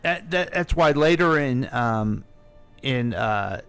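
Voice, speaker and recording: A middle-aged man with an American accent chats casually as a radio co-host. His voice sits at a medium pitch, with soft music underneath. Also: expressive, slow, smooth. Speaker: radio co-host asking questions. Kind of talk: casual radio talk show chat.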